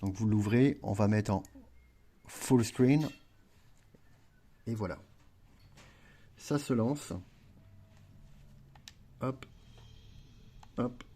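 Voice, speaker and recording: A man's voice: on the low side, drawn-out words, pauses often, dark tone. A man chatting casually through a gameplay tutorial, rambling with frequent fillers and self-corrections. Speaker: gaming video creator.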